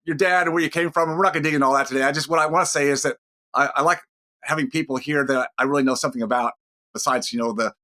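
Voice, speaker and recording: A man hosting a podcast, his voice raspy and deeper than usual from a head cold, talking in an easy, measured way. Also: steady tone, sharp tone. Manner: conversational, measured pace with drawn-out phrases. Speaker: podcast host. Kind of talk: podcast intro and interview.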